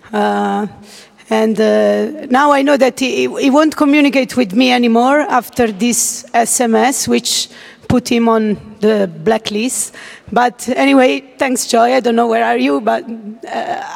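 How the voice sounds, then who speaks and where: A woman's voice: on the high side, creaky. Italian-accented woman speaking in a big hall. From Italy. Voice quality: hesitant, many uh fillers and restarts. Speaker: conference speaker. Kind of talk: nervous conference talk. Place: large lecture hall.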